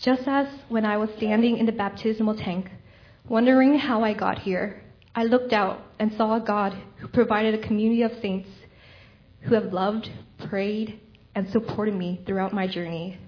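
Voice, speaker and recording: A young woman reads her testimony and closing prayer in an earnest, measured, prayerful manner. The sound is dull and lo-fi. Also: mid-range voice, fairly even intonation. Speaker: church member giving testimony. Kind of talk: read personal testimony and prayer.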